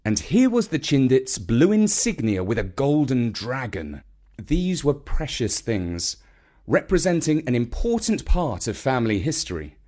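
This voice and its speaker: Unhurried, highly expressive British male storyteller. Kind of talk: scripted children's story narration.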